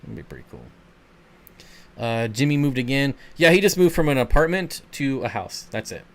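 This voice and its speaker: A man's voice: mid-range voice, big pitch swings, normal speed. A man hosting a casual livestream, answering viewer comments in a laid-back, rambling way and chuckling often. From Michigan. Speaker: channel host.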